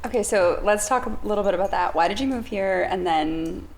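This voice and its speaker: Woman, interviewer, curious and light, creaky voice, faint background noise. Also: mid-range voice, a little fast. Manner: light, curious, laughs easily. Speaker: interviewer, head of marketing. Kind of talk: friendly interview questions.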